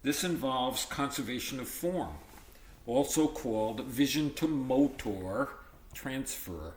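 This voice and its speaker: A man's voice: medium pitch, slow pace, roomy sound, a little hiss or hum, rough recording. A man reading a textbook aloud in an explanatory, teacherly manner, breaking off for informal asides and check-ins. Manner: explanatory, teacherly, checks in with "okay" and "all right". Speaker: audiobook reader adding his own explanations.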